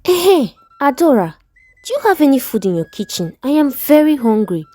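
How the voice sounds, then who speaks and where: A woman's voice: sits high, big pitch swings, normal speed, dark tone, no room sound, voice pushed up. A woman voicing a cheeky, coaxing best friend in a story, with a Nigerian accent and a placating manner.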